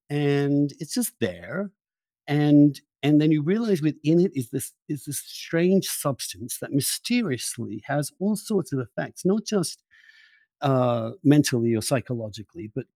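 Man interviewing, halting and searching, dry close sound. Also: a little high, big pitch swings, mellow, no echo. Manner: searching, halting phrasing with repeated words. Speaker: interviewer, podcast host. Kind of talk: reflective long-form interview conversation.

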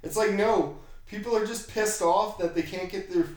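A raspy-voiced man chatting casually as a podcast host, in an irreverent, profane way. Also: sits high, moderate pace. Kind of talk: casual chat. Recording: very echoey room.

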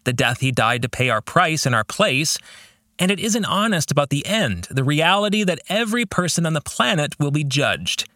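A man's voice: a little high, sing-song, moderate pace, pushed and bright, dry recording. A man hosting a podcast, giving reflective religious commentary on his book in an earnest, preacherly way with emphatic phrasing. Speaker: podcast host and author discussing his own novel.

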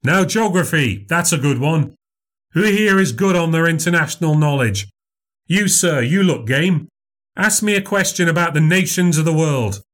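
A man's voice: on the high side, lively intonation, normal speed, a bit bright, voice pushed up. A man voicing a formal, eager memory showman who keeps calling people sir and madam. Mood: showy, polite. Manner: showy, overly polite, says "sir" and "madam".

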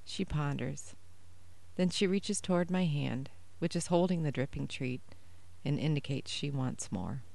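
A woman reading her own first-person short story aloud in a measured, even way. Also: low-pitched, gravelly.

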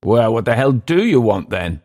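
A man voicing a bitter, dissolute Englishman with an English accent, sneering and halting by turns. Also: medium pitch, very animated, darkish tone, pitched above the performer's own voice. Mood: surly.

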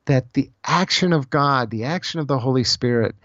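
A man speaking as an interview guest, explaining ideas in a thoughtful, halting conversational way and often repeating phrases as he goes. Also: mid-range voice, fairly bright tone, no room sound. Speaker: podcast guest, author on Celtic spirituality. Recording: slightly dull, lo-fi audio.

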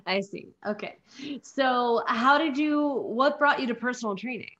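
A woman's voice: fairly high pitch, moderate pace, sharp tone, no room sound, dull audio. Upbeat woman podcast host. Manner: enthusiastic, reads a scripted guest introduction. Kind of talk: upbeat interview chat.

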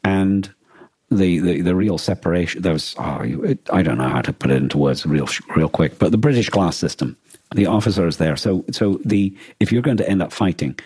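A man co-hosting a podcast, explaining things in a casual, halting discussion as he thinks aloud. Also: rumbling low, a little mellow, husky, no room sound. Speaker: podcast co-host. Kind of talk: casual discussion of a radio drama.

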